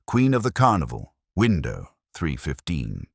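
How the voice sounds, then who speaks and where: A man voicing a thoughtful, soft-spoken police inspector with a precise, dryly philosophical turn in a mystery story. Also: rumbling low, wildly swooping pitch, unhurried, vocal fry, slightly rough, no room sound, clean studio sound, heavily acted. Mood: quietly shrewd.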